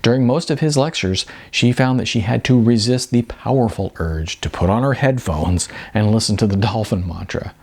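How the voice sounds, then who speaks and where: Gravelly low-voiced man reading his novel. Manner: unhurried, expressive storytelling with wide swings in pitch. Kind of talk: audiobook-style novel reading.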